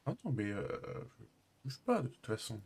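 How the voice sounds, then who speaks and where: A man playing a hesitant, reserved character in improvised roleplay, speaking halting conversation that often trails off. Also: medium pitch, big pitch swings, darkish tone, breathy edge.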